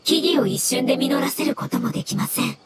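Woman voicing a gentle, high-voiced fungus creature. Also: background noise, natural voice. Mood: calm, explanatory. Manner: courteous, measured, formal phrasing. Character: gentle, polite elemental creature in jellyfish-like fungus form. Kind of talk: scripted game dialogue.

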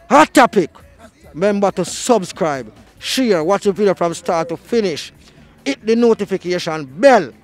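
A woman with a Jamaican accent, interviewing passersby on a city street with a handheld mic, firing off quick probing questions. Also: medium pitch. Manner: quick probing questions, show sign-off catchphrases. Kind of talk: vox pop interviewing.

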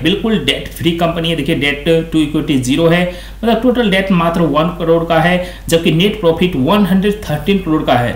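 A man with a high, light voice walks through company numbers on a screen recording, chatty and repetitive in his praise. The sound bounces around an echoey room, picked up by a desk microphone. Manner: conversational, repeats stock phrases like "quite excellent". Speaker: stock market video host. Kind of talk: screen-share stock review.

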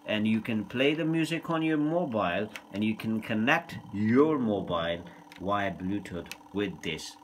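A man presenting an informal hands-on unboxing in a hesitant, halting way, speaking non-native English in a room at home. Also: lowish voice, expressive. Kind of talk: informal hands-on product demonstration. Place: small room at home, indoors. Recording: noticeable background noise, handling clicks.

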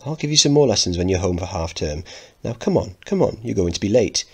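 A man's voice: deep voice, animated, fast, breathy edge, heavily acted. A man voicing a doting, fussing upper-class mother in a coaxing, fluttery manner, in a dramatic play reading. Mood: fond, fussing.

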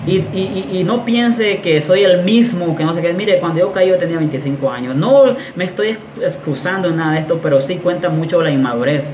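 A man in his thirties talks straight to the camera, frank and full of slang, his voice sitting a little high. The small tiled room around him rings with echo, and a faint hiss sits underneath. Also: brisk, hardly any pauses. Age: 30s. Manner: animated, gestures a lot, slangy and frank. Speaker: vlogger. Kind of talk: casual storytelling to camera. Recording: echoey room, faint background noise.

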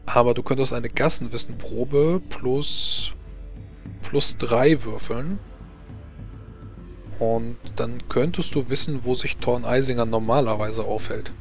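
A man narrating as game master of a tabletop role-playing game, speaking haltingly as he thinks aloud. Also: medium pitch, creaky, soft background music.

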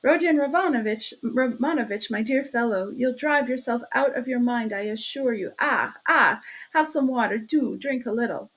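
A woman's voice: fairly high pitch, moderate pace, fairly bright tone, natural voice. A woman voicing a sly, fussing male police investigator in an audiobook, wheedling and chattering as he repeats himself. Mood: solicitous, agitated. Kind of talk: audiobook character acting.

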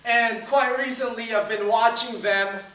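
A man's voice: very high-pitched, steady tone, unhurried, bright, forceful tone. A man in his twenties from the Philippines giving a chatty, upbeat church talk, with many fillers. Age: 20s. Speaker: student ministry leader. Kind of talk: informal sermon talk. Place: church hall stage. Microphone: far camera, no mic seen. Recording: roomy hall sound from a distance.

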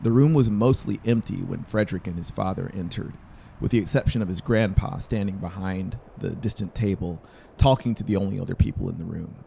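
Low, gravelly male audiobook narrator. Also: brisk, warm tone, slight background noise. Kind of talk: scripted fiction narration. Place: small brick-walled room, indoors. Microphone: close dynamic mic on boom stand. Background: faint music.

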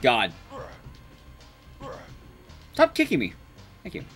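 A man's voice: mid-range voice, wildly swooping pitch, slow, fairly bright tone. A young man giving casual live game commentary as a speedrun streamer, self-deprecating and swearing casually. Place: small indoor streaming room. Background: game music.

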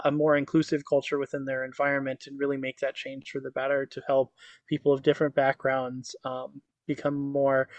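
A man's voice: mid-range voice, no room sound, dull audio. A man in his thirties, born in Croatia, talking as an interview guest on a video call, upbeat and fluent with frequent "um"s and "you know"s. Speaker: guest, motivational speaker. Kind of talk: casual interview chat. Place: indoor home office with virtual backdrop. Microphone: webcam on a video call.

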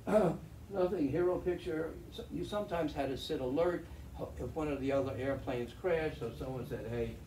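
A man's voice: a little high, moderate pace, warm tone. An older man giving a slide talk as a veteran pilot, wry and chatty, heard from a far camera in a meeting room. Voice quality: chatty, wry storyteller with restarts. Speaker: guest speaker, veteran pilot. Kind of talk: slide lecture to an audience. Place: meeting room with projector screen. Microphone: far camera in the audience. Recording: roomy, distant and faintly noisy.

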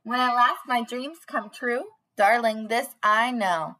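A woman's voice: a little high, a little slow, sharp tone, a little hiss or hum. A young woman preschool teacher doing a read-aloud for small children in a bubbly, sing-song manner, recorded on a webcam in a small room. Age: young adult. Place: small panelled indoor room.

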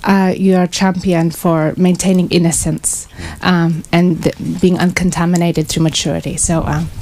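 A woman's voice: on the low side, level tone, moderate pace, a little mellow. A woman in an audience asking a question live, choosing her words hesitantly and earnestly. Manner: hesitant, earnest, careful phrasing. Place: small crowded bookshop, indoors. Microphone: passed handheld microphone.

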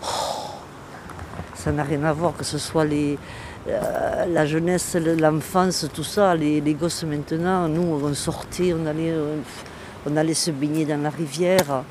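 A woman's voice: sits low, steady tone, a little slow, background noise. An older woman from southern France, interviewed outdoors in her village, recalls her childhood hesitantly and fondly, with frequent fillers. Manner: hesitant, fond, many fillers and "voilà". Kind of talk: street interview reminiscing.

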